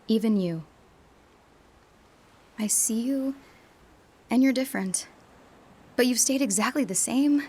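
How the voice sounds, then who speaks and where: A woman's voice: mid-range voice, darkish tone, slight background noise, natural voice. A woman playing a wistful young adult back home from university, speaking in a reflective, confessional radio drama monologue.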